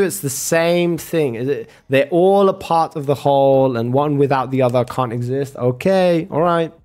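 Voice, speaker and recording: A man in a casual argumentative rant, sarcastic and sweary, calling the listener bro. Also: mid-range voice. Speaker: streamer arguing with a chat viewer.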